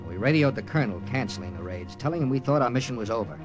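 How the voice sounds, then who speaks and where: A man's voice: medium pitch, expressive, very fast, close to an everyday voice. A man acting a tough, grim American intelligence captain who narrates an old radio drama tersely. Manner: terse, hard-boiled. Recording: old radio recording with music behind.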